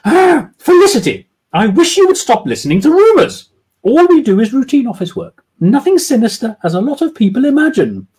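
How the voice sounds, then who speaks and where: A self-satisfied office manager of a woman, as a man plays her, brisk and a little evasive. Her voice sits well above his own and swings up and down lively, with a husky edge. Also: high voice, voice pushed up, full character voice. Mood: smug. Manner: smug, brisk. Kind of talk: storybook reading.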